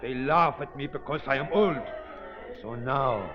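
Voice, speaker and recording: An actor playing a vengeful old mad scientist who gloats theatrically in broken English with a foreign accent, in 1930s radio style. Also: male voice, mid-range voice, a little slow, lo-fi sound, over music, close to an everyday voice. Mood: menacing.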